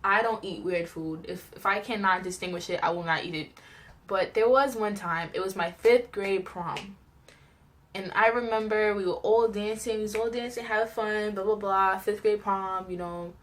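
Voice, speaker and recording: A young woman tells personal stories in a casual, chatty vlog style, animated and giggly as she acts out little reactions. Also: mid-range voice, moderate pace. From New York, United States. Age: young adult. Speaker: vlogger telling personal stories.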